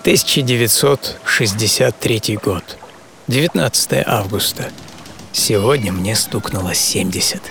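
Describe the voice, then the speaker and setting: A man acting a hardy, serene seventy-year-old sailor in an audio play monologue, reflective and intimate, with savoring pauses. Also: on the low side, creaky, fairly bright tone, a little hiss or hum. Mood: calm, proud. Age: 70s. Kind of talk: first-person dramatic monologue in an audio play. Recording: music behind the voice.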